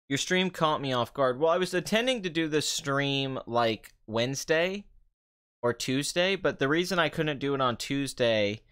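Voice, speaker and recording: A man's voice: mid-range voice, fairly bright tone, smooth. A young man reviewing a film on a live stream in loose, rambling chat, his voice stuffy, nasal and a little trembly from a sore throat. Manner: rambling, many fillers and restarts. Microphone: desk mic with compression.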